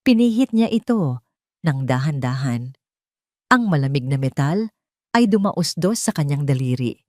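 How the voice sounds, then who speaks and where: Low-voiced Filipino woman narrating with animated pitch. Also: a little slow, no room sound, studio quality. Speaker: story narrator. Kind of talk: dramatic scripted story narration in audio drama style.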